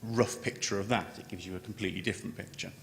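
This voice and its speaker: A man giving an unscripted technical conference presentation as a scientist, halting and often repeating words as he starts a phrase. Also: fairly even intonation, vocal fry. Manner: halting, with stammered word repetitions. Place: large conference room. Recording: echoey hall, noticeable background noise, low rumble.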